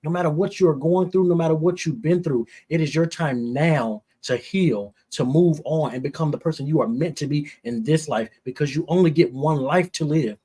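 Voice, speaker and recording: A man's voice: on the high side, moderate pace, hardly any pauses, dark tone, husky. A man speaking as an interview guest, giving earnest, emphatic motivational encouragement in short repeated phrases.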